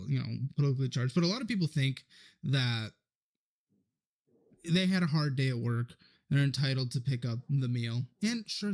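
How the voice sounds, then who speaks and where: A man's voice: medium pitch, a little mellow. A man guesting on a podcast, talking loosely with lots of fillers about his financial show. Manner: loose, filler-heavy talk. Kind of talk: podcast conversation.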